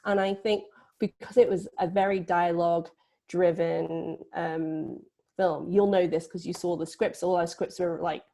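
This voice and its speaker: A woman's voice: on the low side, little pitch movement, measured pace, dark tone. A woman speaking as a film producer in a casual panel interview, in an informal, loosely phrased way. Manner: informal, hedging with frequent "like".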